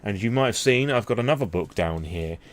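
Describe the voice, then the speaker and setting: A man's voice: on the low side, expressive, brisk. A British man talking casually and candidly in an impromptu vlog, rambling with self-deprecating asides and some swearing. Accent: British English. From England. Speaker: YouTube vlogger on tabletop roleplaying games.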